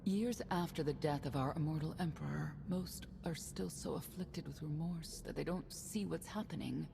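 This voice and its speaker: An actress playing a self-assured, candid woman knight in a game, composed and measured. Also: low voice, vocal fry, noisy background. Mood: resolute, guarded. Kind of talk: video game cutscene acting.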